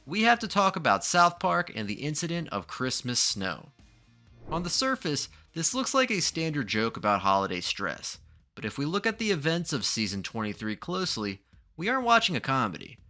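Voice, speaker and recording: Guy doing dramatic video essay narration, really lively pitch, music under it. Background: background music.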